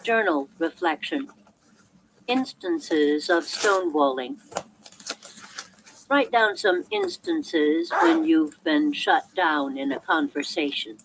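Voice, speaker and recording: A woman reading a self-help audiobook in a measured, clearly articulated and emphatic way. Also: sits low, very animated, background noise. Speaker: audiobook narrator.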